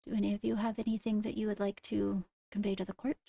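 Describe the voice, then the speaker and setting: Creaky-voiced woman playing a poised royal ruler. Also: mid-range voice, flat delivery, warm tone, no room sound, clean studio sound. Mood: composed, businesslike. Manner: measured, formal, hesitant between phrases. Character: poised female royal ruler addressing her court. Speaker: leader of the meeting. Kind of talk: improvised Minecraft roleplay.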